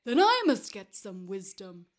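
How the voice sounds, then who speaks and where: A woman voicing a huge, slow-witted male giant in a storybook tale, growling and booming, loud and demanding. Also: wildly swooping pitch. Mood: puzzled, curious.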